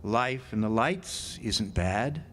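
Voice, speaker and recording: An actor playing a haunted, nervous lighthouse keeper in an old-time radio drama monologue, halting and repeating words between dramatic pauses. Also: male voice, on the low side, expressive, fairly bright tone, slight background noise. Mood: uneasy, evasive. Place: live amphitheater stage performance.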